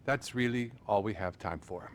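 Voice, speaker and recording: An actor playing a calm, clinical psychiatrist who speaks in a measured, unflappable way, in a video game cutscene. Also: male voice, lowish voice, a little hiss or hum, natural voice.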